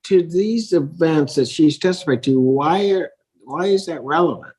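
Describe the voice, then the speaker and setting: A man speaking as a judge from the bench, plain-spoken and probing, laying out his questions deliberately. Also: a little high, a little mellow, husky. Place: indoor courtroom.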